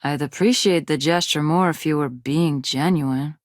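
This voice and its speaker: An actress playing a shy, self-doubting young undergraduate from a poor space colony, with a hesitant, awkward manner, in video game dialogue. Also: female voice, sits low, moderate pace, no room sound, clean studio sound, natural voice. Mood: wistful, downcast. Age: young adult.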